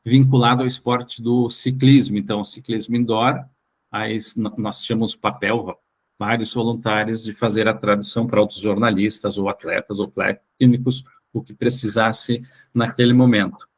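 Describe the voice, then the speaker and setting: Brazilian man recounting his experiences, halting delivery. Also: fairly low pitch, flat delivery. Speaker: interview guest. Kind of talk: recounting personal experiences in an interview.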